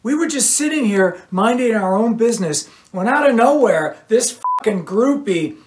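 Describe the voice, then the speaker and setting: A woman's voice: a little high, expressive, moderate pace, noisy background, pitched above the performer's own voice, close to an everyday voice. A woman voicing a dismissive, aging male rock star with a British accent, speaking offhandedly. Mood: annoyed.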